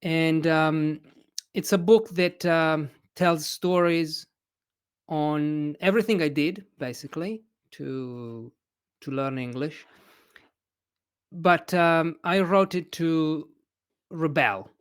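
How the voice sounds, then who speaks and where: A man from Italy with an Italian accent, an English teacher chatting as a podcast guest in a hesitant, expressive way. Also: a little high, slow, clean tone. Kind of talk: casual interview chat.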